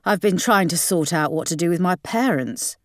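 A woman's voice: on the low side, lively intonation, normal speed, no echo, studio quality, close to an everyday voice. A woman voicing a baffled, placating middle-aged father in an audiobook. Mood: flustered.

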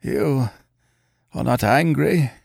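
A man voicing a gravely ill, masterful detective in a croaking, gasping, spasmodic voice. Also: medium pitch, very animated, measured pace, no room sound, clean studio sound, exaggerated voice. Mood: feeble, feverish. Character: gravely ill, imperious male detective, feeble yet masterful.

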